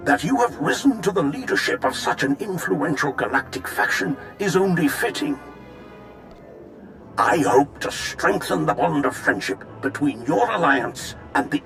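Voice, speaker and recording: A man playing a deferential, flattering imperial fleet officer in a sci-fi game, courtly, formal and deliberate. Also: a little high, exaggerated voice. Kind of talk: scripted video game dialogue. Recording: music behind the voice.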